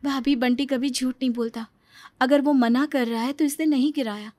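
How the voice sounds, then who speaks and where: A woman acts a meek, hurt young mother in a moral story, pleading earnestly. The voice is high, in a dry recording. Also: fairly even intonation, normal speed, darkish tone, no room sound, faint music behind, close to an everyday voice.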